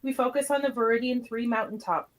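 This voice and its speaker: A woman's voice: on the high side, steady tone, brisk. A woman reading scripted stage directions as narrator of an amateur radio play.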